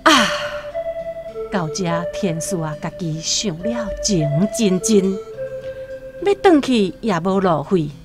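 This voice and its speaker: Female narrator, Taiwanese accent, dramatic radio storytelling, strongly swooping intonation, very unhurried, clear music underneath. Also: medium pitch. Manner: expressive, deliberate.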